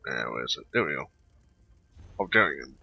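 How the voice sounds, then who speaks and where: A man giving casual, halting commentary over a video game playthrough, mumbling asides and bits of on-screen text. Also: extremely low pitch, sing-song, vocal fry, breathy edge. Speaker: gameplay video host. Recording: slightly muffled, dull microphone sound. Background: voice-over on gameplay recording.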